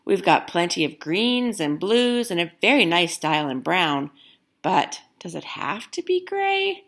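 A woman's voice: lowish voice, sing-song, measured pace, exaggerated voice. A woman voicing a polite, apologetic young male shop clerk in a picture book. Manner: courteous, apologetic.

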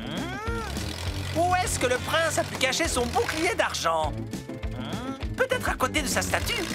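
Casting: childlike digger vehicle for kids' cartoon, high, swooping delivery, eager and bouncy. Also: male voice, wildly swooping pitch, unhurried, over loud music, full character voice. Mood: eager, curious. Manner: bouncy, wide-eyed, exclamatory. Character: eager, childlike yellow digger, a playful young male vehicle.

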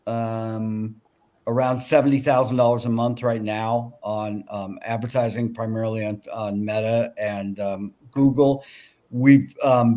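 Man, 60s, medium pitch, business founder, investor pitch, video call. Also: darkish tone. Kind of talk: business pitch and question answering. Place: home room with bookshelves.

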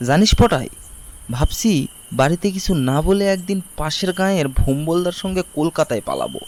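Man acting boastful village boy, audio story, confiding and chatty, slightly high pitch, slow delivery, faint night noise. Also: sing-song, a little mellow. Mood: boastful, eager. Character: boastful, dreamy village boy with pickpocketing ambitions.

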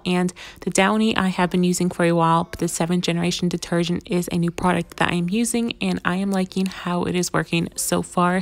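A woman in her thirties narrating a home vlog in a casual, plain, explanatory voice-over. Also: fairly low pitch. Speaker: home vlogger.

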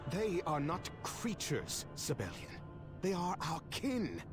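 A man's voice: on the high side, wildly swooping pitch, slow pace, exaggerated voice. A man voicing an earnest, defiant young dragon prince in human form for a video game cutscene. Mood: insistent. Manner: earnest, indignant, pleading. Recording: loud game music behind.

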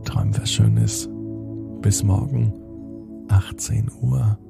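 A man narrating a bedtime story in a slow, soothing way, speaking directly to the child listening. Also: lowish voice, fairly even intonation, creaky, over loud music.

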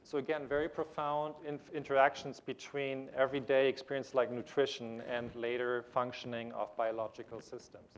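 A man's voice: medium pitch, level tone, moderate pace, few pauses, poor audio. A man in his thirties giving a conference keynote lecture in a large auditorium on a lapel mic, explaining with frequent restarts and fillers. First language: German. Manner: gestures while pacing the stage. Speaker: keynote speaker. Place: large auditorium stage with audience.

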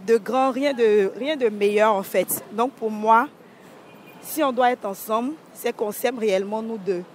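A woman's voice: on the high side, slight background noise. A young woman answering a street interviewer at night, explaining her view earnestly with a few restarts, into a handheld mic. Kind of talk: vox pop answer. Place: city street at night beside traffic. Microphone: handheld mic held by interviewer.

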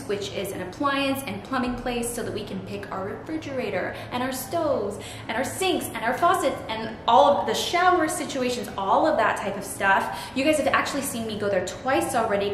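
Chatty woman in an echoey empty house. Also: on the high side. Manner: chatty, animated, laughs often. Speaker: vlogger. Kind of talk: casual home tour vlog. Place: empty old house under renovation, indoors. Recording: very echoey empty rooms, noisy background.

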